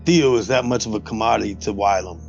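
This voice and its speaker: A man's voice: lowish voice, fast talker, vocal fry, a little mellow. A man playing a tabletop role-playing game, talking casually and improvising, on a dull lo-fi recording with music behind.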